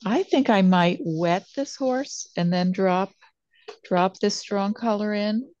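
Older female painting instructor, very unhurried live demo narration, soft dark tone, dull lo-fi sound. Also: mid-range voice, no room sound. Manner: unhurried, pausing between phrases while painting. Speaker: watercolor painting teacher. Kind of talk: real-time demonstration narration, thinking aloud. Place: indoors at a painting table.

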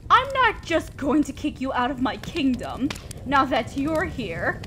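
A woman playing a haughty, teasing female demon lord in a roleplay audio drama, with a drawn-out, condescending, theatrical delivery. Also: sits high, very animated, bright, forceful tone, heavily acted. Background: crackling fire.

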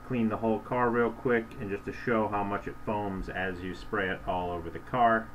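A man giving a casual how-to voice-over as he presents a product comparison. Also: lowish voice. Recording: voice-over with clear hum and noise.

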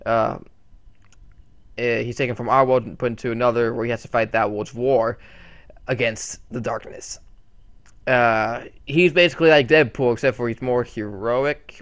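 A man with a bright, forceful voice talks casually through a channel update on a desktop mic. He rambles, with many fillers and restarts. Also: mid-range voice, steady tone, normal speed, smooth. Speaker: channel host.

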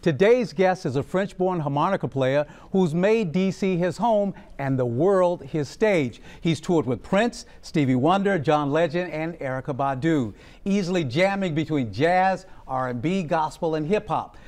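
A man's voice: a little high, expressive, a little slow, hardly any pauses, darkish tone. A middle-aged man hosting a TV interview show, with upbeat, polished introductions in a studio. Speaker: TV host. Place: TV studio set.

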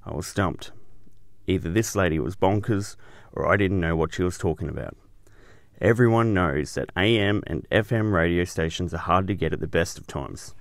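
A man's voice: low voice, normal speed, creaky, dry recording, clean studio sound. A man hosting and narrating a scripted explainer show.

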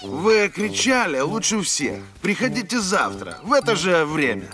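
Bossy street fox, high voice jumping around, cartoon, music behind. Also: male voice, sing-song, unhurried. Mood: businesslike. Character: gruff streetwise fox, hired rally organiser. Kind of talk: satirical cartoon voice acting.

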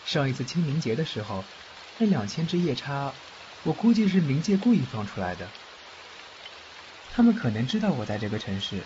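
Man playing lively fugitive underworld official, slightly muffled. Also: medium pitch, close to an everyday voice. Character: fugitive underworld official, doting godfather, cocky yet protective. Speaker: voice actor in fan audio drama. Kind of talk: Mandarin fan radio drama acting. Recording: muffled, faint background noise, rain-like ambience.

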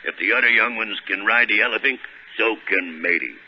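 An actor playing a salty, good-hearted old sailor in 1930s radio style, gravelly, with mangled grammar and nautical slang. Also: male voice, fairly low pitch, brisk, close to an everyday voice. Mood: hearty. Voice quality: gravelly, gruff. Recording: noisy, tinny 1930s radio recording.

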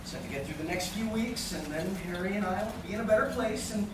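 An actor playing a flustered, quippy teenage superhero with a stammering delivery in a live radio play. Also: male voice, sits high, quick pace, lightly acted. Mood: wistful. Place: large hotel conference room with seated audience. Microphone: distant audience camera. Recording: echoey noisy room, recorded from far off.

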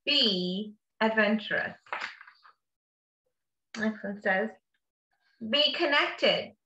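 Bright-voiced woman reading slowly to children. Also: on the high side, expressive, dull audio. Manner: encouraging, explains phrases simply to children. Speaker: teacher reading a picture book to children. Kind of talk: read-aloud with casual asides to children. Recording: echoey room.

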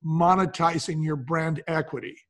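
Husky guy, kinda high voice, business advisor type, folksy, asks himself questions then answers em. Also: fairly even intonation, moderate pace, fairly bright tone. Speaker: guest, business advisor and brand founder. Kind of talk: conversational explaining in an interview.

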